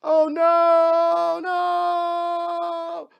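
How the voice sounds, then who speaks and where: An excitable, whiny husband in a horror comic melodrama, acted by a man. His voice is pushed up from a low natural register and sounds hapless and nervous. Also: extremely slow, creaky, highs cut off, heavily acted. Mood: horrified.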